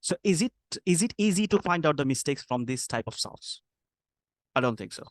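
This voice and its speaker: A man's voice: a little high, big pitch swings, fairly quick, no echo. A man from Bangladesh with a Bangladeshi English accent, giving spoken feedback as an IELTS speaking coach, with many hesitant fillers.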